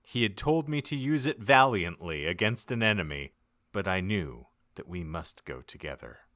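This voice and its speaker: A man with a fairly low, forceful voice narrates a comic audiobook. His reading is theatrical and mock-serious, with lively swings in pitch. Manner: theatrical, deliberate emphasis. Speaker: podcast narrator.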